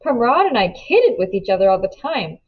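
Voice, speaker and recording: A woman narrates a children's book in an expressive read-aloud style. Her pitch is average for a woman. The recording is slightly dull and lo-fi. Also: moderate pace. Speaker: storybook reader.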